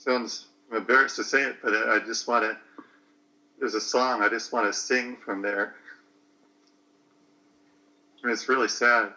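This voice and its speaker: A man in his late forties talking slowly through a personal reflection, hesitant and searching for words. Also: mid-range voice, fairly even intonation, bright, forceful tone, lo-fi sound. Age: 40s.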